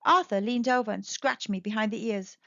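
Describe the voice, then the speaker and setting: A woman's voice: on the high side, fairly quick, creaky, a bit bright. A woman narrating a children's story expressively from a script as a storyteller. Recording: slightly dull, echoey home recording.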